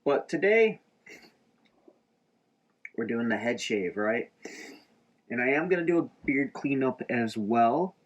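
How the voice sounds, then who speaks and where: A man vlogging about his shaving routine in a relaxed, rambling way with lots of fillers, a little stuffed up and nasal. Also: mid-range voice, takes it slowly, pauses often, dull audio. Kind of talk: casual chat to camera. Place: inside an RV.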